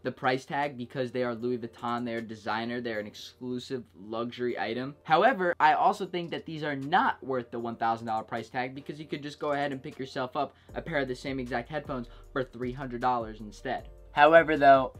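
A man's voice: medium pitch, a bit bright. A young man hosting a casual product review vlog from his bedroom couch, chatty and jokey, full of "like" and "go ahead". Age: young adult. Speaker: tech reviewer, channel host.

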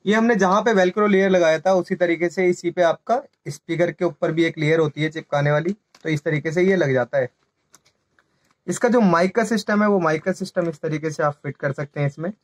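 A man giving a hands-on tutorial, explaining step by step in a small indoor room. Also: fairly high pitch. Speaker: presenter of a motorcycle gear channel. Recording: faint background noise with clicks.

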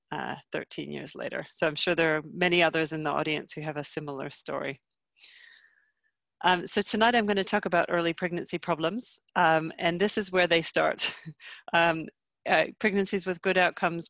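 A woman's voice: sits low, gravelly, a bit bright, dry recording, clean studio sound. A woman in her thirties, from Canada with a Canadian accent, giving a medical webinar from home over a video call, friendly and full of ums. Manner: friendly, many ums, self-deprecating. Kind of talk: medical lecture. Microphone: headset.

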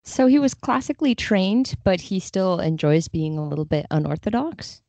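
A woman's voice: medium pitch, lively intonation, normal speed, gravelly, warm tone, no room sound. A woman chatting informally and enthusiastically about fiction as a podcast guest. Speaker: podcast guest, literary translator. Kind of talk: casual chat about fiction.

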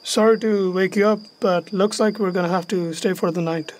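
A man playing a practical, obliging driver in a radio drama, with a casual, brisk manner. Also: high voice, fairly even intonation, natural voice.

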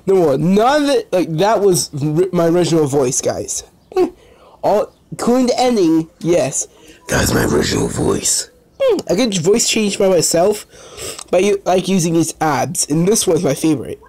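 A woman's voice: on the low side, sing-song, moderate pace, mellow. A woman vlogger chatting casually as she demonstrates an app, recorded through a phone's microphone. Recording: clicky, noisy phone recording.

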